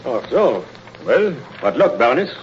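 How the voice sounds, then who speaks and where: A man's voice: mid-range voice, sing-song, normal speed, lightly acted. Man playing a commanding spymaster on muffled old radio. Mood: brisk, secretive. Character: commanding male spymaster giving covert orders. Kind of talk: 1930s radio drama acting. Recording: muffled, noisy 1930s radio recording.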